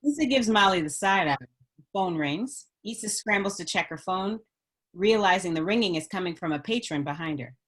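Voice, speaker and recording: A woman's voice: medium pitch, animated, moderate pace, a bit bright. A woman reading stage directions as scripted narration at a table read.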